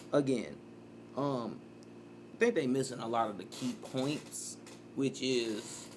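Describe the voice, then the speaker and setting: A man, reacting to a clip in rambling casual commentary and breaking into loud outbursts. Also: medium pitch, slight background noise. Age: 30s.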